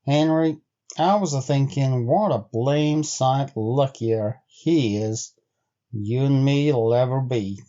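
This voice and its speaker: A man voicing an uneasy, insistent sled-dog driver in a storybook, speaking gravely and deliberately in rustic, old-time phrases. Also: mid-range voice, warm tone, dull audio, lightly acted. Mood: brooding. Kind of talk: storybook character voice.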